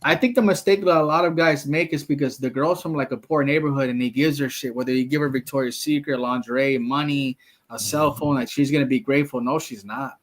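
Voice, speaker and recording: A man's voice: medium pitch, steady tone, brisk. A man from the United States with a Mexican-American accent, talking bluntly and profanely in a casual streamed chat, full of slang. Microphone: streaming call mic.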